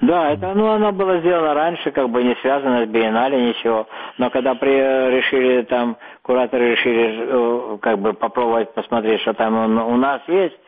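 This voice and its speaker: Halting older man on a tinny line. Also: mid-range voice. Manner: halting, searching for words, repeating himself. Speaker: photographer interviewed about his exhibition. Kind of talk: interview answers. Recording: muffled phone-like line.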